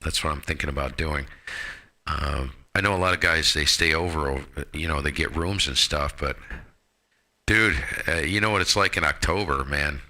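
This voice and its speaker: A man's voice: very deep voice, moderate pace, bright, forceful tone. A man chatting casually over amateur radio, laid-back and colloquial, with folksy exclamations. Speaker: amateur radio operator on air.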